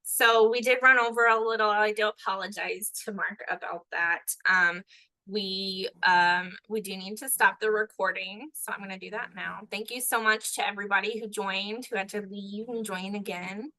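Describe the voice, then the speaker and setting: A woman runs a live online tutorial, her voice bright and a little tense. The sound is dry and close, with no room around it. She keeps an upbeat, encouraging tone. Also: mid-range voice, fairly even intonation, moderate pace, dry recording, rough recording. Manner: upbeat, encouraging, asks for understanding. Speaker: online trainer.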